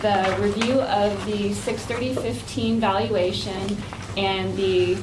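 A woman's voice: mid-range voice, fairly even intonation, slow pace. A woman giving a formal technical presentation as a guest actuary in a meeting. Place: indoor meeting room. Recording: echoey, noisy room, distant mic.

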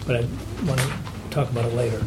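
A man speaking up informally as a board member, with halting, tentative phrasing. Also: mid-range voice, little pitch movement. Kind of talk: informal meeting discussion. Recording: noisy meeting room recording.

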